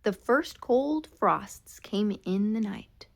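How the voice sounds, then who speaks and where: Female storybook reader for children: expressive and dramatic, slow delivery with very animated intonation. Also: mid-range voice, vocal fry. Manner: dramatic, expressive read-aloud with drawn-out words. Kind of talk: scripted children's storytime narration.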